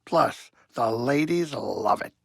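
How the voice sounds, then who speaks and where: Animated, blustery older alien pilot. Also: male voice, mid-range voice, takes it slowly, creaky, breathy edge, no room sound, clean studio sound, full character voice. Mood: wry banter. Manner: grumbling, wisecracking, blustery. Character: gruff, wisecracking older alien pilot and cook, warm-hearted worrier. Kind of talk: video game character acting.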